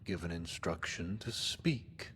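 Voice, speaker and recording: An actor playing a cold, menacing post-apocalyptic cult overlord, speaking in a pompous, archaic, deliberate manner as scripted game dialogue. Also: male voice, low voice, expressive, a bit bright, long reverb tail, a little hiss or hum, exaggerated voice. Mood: cold, threatening.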